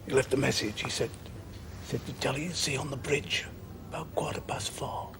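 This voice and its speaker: An actor playing a man on duty who speaks quietly and politely but firmly. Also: mid-range voice, fairly even intonation, moderate pace, creaky, whispered, natural voice. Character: man on duty keeping watch. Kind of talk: TV drama dialogue.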